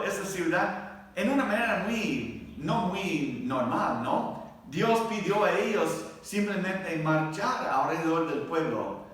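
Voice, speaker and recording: Male Bible class teacher, non-native with a foreign accent, slow halting delivery, heard in a very echoey room. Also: high-pitched, a bit bright. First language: not a native Spanish speaker. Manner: halting, searching for words, often checking with listeners. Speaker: Bible teacher at a Baptist church adult class. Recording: very echoey room, distant microphone.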